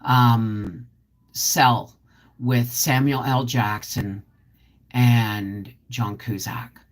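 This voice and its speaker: A woman's voice: very deep voice, fairly even intonation, very slow, a little hiss or hum. A middle-aged woman chatting casually on a live stream, rambling and answering viewer comments as she goes. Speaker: homestead channel host.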